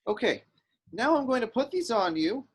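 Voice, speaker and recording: Man playing an eager high-voiced young hero. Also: sing-song, moderate pace, breathy edge, full character voice. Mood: hesitant. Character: eager, earnest young male farm boy hero. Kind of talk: table-read acting. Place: virtual call from separate location.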